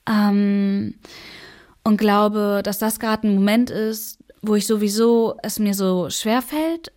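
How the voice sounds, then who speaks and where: A woman's voice: mid-range voice, fairly even intonation, slow, darkish tone. A young woman from Afghanistan in her twenties, telling her own story in a documentary interview, hesitant with many fillers and emotional.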